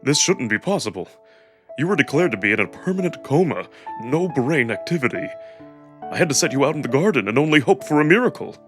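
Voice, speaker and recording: A man voicing a huge alien wolf who is tender, anxious and lovestruck, speaking in a halting, earnest, emotional way in a visual novel reading. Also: sing-song, over music, close to an everyday voice. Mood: disbelieving, tender. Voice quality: deep voice, shaken and breaking.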